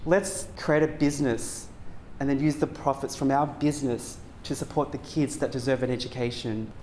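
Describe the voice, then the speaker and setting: A young man from Cambodia, telling his story as a business founder in a soft, earnest documentary narration with frequent pauses. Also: medium pitch, steady tone, slight background noise, low-quality recording. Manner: soft-spoken, earnest, pausing with frequent ums. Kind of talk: documentary testimony and reflective narration.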